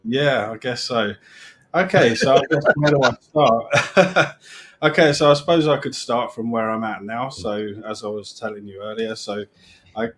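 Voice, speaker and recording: A middle-aged man with a British accent, chatting as a guest on a live stream and rambling with restarts that trail off quietly. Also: medium pitch, normal speed. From England. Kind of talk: casual live-stream chat.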